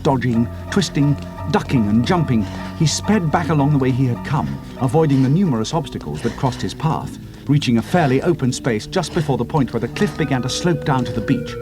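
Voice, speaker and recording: Expressive male audiobook narrator over music. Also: mid-range voice. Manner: slows down for suspense, drops to hushed tones for atmosphere. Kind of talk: dramatic scripted narration. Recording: music score underneath.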